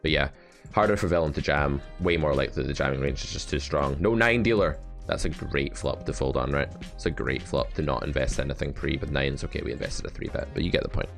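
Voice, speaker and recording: A man's voice: a bass voice, little pitch movement, fast talker, creaky, faint music behind. A man coaching poker with live play-and-explain commentary, talking in a blunt, wry, sardonic way.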